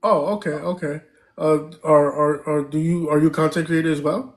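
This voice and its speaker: A man's voice: on the high side, flat delivery, normal speed. A man hosting a live stream, chatting casually, thanking viewers and stopping often with fillers.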